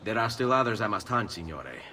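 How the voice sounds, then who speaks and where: Very deep, solemn assassin. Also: male voice, sing-song, fairly quick, bright, forceful tone, a little hiss or hum. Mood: grave, respectful. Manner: solemn, courteous. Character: grave, respectful male Italian assassin. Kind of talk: dramatic game cutscene acting.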